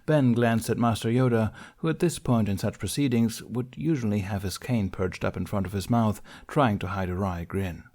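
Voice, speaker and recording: A man's voice: lowish voice, normal speed, hardly any pauses, a little mellow. A man reading scripted fiction as an audiobook narrator.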